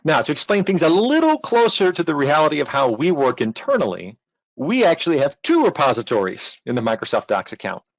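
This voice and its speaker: A man's voice: on the high side, sing-song, brisk, no room sound. Man presenting, dull lo-fi audio. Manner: explanatory, informal asides. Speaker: presenter, technical instructor. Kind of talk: online technical presentation. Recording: dull lo-fi online-call audio.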